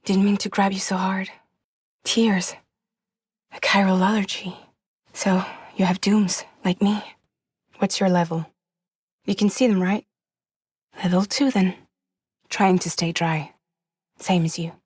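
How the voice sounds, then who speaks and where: An actress playing a guarded, wry woman courier in a post-apocalyptic video game, with soft, breathy, measured and deadpan delivery. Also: medium pitch, no room sound, clean studio sound. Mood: quiet, guarded. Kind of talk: cinematic video game acting.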